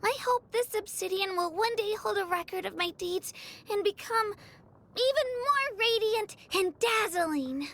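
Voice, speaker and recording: A woman's voice: extremely high pitch, animated, a little slow, exaggerated voice. A woman voicing a timid, earnest young girl in a video game, with a childlike, hesitant delivery. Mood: earnest, determined. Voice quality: childlike, soft-edged. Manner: hesitant, earnest, stammering on first words. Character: timid, earnest young girl, tearful yet determined gem collector. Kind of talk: scripted video game character lines.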